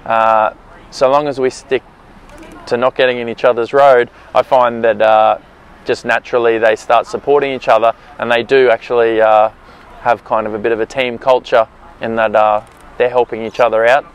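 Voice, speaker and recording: A man in his forties, a triathlon coach, explaining thoughtfully with many pauses beside an outdoor pool on a lapel mic. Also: medium pitch, little pitch movement, smooth. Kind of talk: interview explanation.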